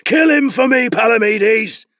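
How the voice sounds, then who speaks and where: A man's voice: extremely high pitch, a little slow, a bit bright, no echo, highs cut off, pitched above the performer's own voice, full character voice. A man voicing a ruthless enemy who bellows threats from afar, in an audiobook. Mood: commanding. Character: ruthless male enemy shouting threats across the water.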